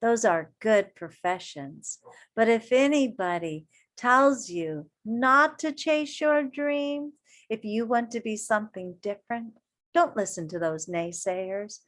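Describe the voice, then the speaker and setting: A woman reading a children's story aloud like an elementary teacher, expressive and deliberate, pausing to put questions and encouraging remarks directly to young listeners. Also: on the high side.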